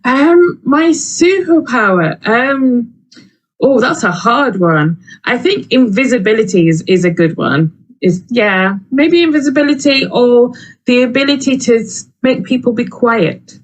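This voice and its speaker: A woman's voice: fairly high pitch, animated, a little slow. A woman co-hosting a live online event from home in a casual, chatty style. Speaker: co-host. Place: at home on a video call.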